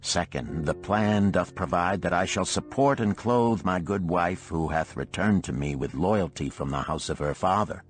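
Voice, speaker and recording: A man voicing a resolute ancient Babylonian freed slave in an audiobook parable, solemn, archaic and deliberate. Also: low voice, soft background music, lightly acted. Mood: earnest, instructive. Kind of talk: parable read aloud in an audiobook.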